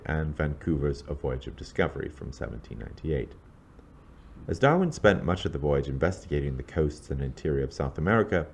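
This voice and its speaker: Male narrator for scholarly history script; very low pitch, expressive intonation, measured and formal. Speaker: lecturer reading own introduction.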